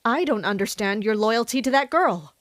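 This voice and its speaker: A woman playing a loyal, pragmatic captain in an audio drama, speaking in a measured, firm, admonishing way. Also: fairly high pitch, expressive, no echo, studio quality, natural voice. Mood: challenging. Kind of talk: dramatic audio fiction acting.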